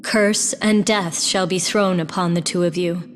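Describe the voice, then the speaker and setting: An actress playing a sorrowful, dignified young royal in a fantasy game, earnest and formal. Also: female voice, lowish voice, steady tone, a little slow, in a large hall, a little hiss or hum, natural voice. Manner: earnest, formal, archaic phrasing. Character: sorrowful, dignified young royal woman, gentle but resolute. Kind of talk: theatrical fantasy game drama.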